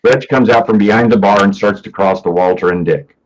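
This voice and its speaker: A man's voice: fairly low pitch, fairly quick, slight background noise, poor audio. A man narrating stage directions for a play, reading from a script in the present tense.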